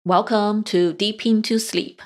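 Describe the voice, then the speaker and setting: A woman's voice: mid-range voice, fairly even intonation, background noise. A woman hosting a podcast, chatty and enthusiastic as she asks questions. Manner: enthusiastic, chatty, quick laughs. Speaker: podcast host. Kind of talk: podcast interview.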